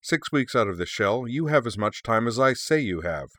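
Man as a stern, low-voiced elder dragon. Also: animated, moderate pace, fairly bright tone, dry recording. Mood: impatient. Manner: grave, curt, lecturing. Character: stern, commanding elder male dragon in human form. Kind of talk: storybook character reading.

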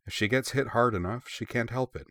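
A man voicing a sombre, reassuring girl in an audiobook story, measured and explanatory. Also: mid-range voice, fairly bright tone, dry recording, clean studio sound, pitched above the performer's own voice, natural voice. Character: young grown-up-sounding girl, sombre, gentle, reassuring. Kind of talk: audiobook character dialogue.

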